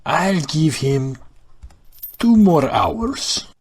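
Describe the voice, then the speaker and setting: A man playing a cruel, laconic henchman in an audio drama, with a husky voice and unhurried delivery. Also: a little high, sing-song, heavily acted. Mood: sly, menacing.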